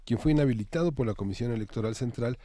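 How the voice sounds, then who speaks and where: A man's voice: mid-range voice, quick pace, dark tone, no room sound. A man with a Mexican accent presenting news and introducing guests as a television host. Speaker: TV magazine show host.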